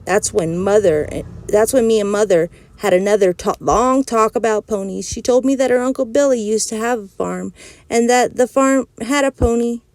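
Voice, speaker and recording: A woman reading a children's chapter book aloud in a clear storytelling manner. Her voice is mellow and dark-toned, recorded close and dry with no room sound. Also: fairly high pitch, moderate pace, no echo. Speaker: audiobook reader.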